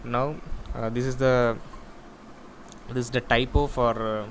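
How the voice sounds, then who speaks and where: Man, mid-pitched, little pitch variation, halting repetitive explanations, informal demo style, noise behind the voice. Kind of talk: informal software demo walkthrough. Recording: clear steady background noise.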